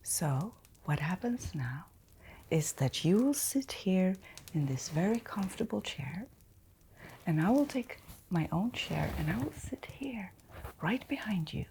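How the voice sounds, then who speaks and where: A woman playing a gentle, reassuring innkeeper in an ASMR fantasy roleplay, speaking in a soft, breathy near-whisper. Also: fairly low pitch, lively intonation, slight background noise. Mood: soothing. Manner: slow, gentle, caring, reassuring. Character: Edna, soothing, reassuring woman innkeeper of fantasy forest inn.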